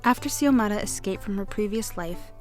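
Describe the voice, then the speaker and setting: An actress playing a songstress in a western radio play, telling her love story with measured, dramatic phrasing. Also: mid-range voice, a little mellow, faint music behind, natural voice. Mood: narrating, fond. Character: Aleta, beautiful songstress and devoted sweetheart narrating her western love story. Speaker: narrator and character. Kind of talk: western radio play narration and acted dialogue.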